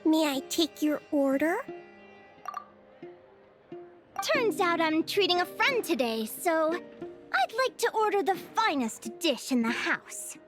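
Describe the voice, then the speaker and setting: High-pitched, playful little kid. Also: female voice, big pitch swings, measured pace, heavily acted. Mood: playful pretend. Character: playful young child eager to act out storybook scenes. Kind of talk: anime-style video game voice acting. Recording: music under the voice. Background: game music.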